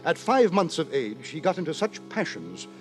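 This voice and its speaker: An actor playing a fussy, pompous gentleman narrator in an old-time radio drama, speaking in florid, theatrically indignant phrases. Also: a little high, sing-song, normal speed. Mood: aggrieved lamenting. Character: fussy, pompous gentleman narrator lamenting his wayward friend. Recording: old radio recording with loud music behind.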